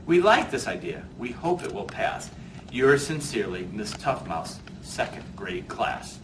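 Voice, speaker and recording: A man's voice: medium pitch, very animated, a little slow. A man voicing polite young mouse pupils reading out their letter in a storybook, earnestly. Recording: distant mic, faint background noise.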